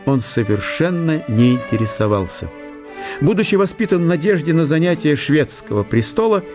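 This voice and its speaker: A man's voice: on the high side, very animated, moderate pace, over music. A man narrating a historical radio drama in a dramatised literary style.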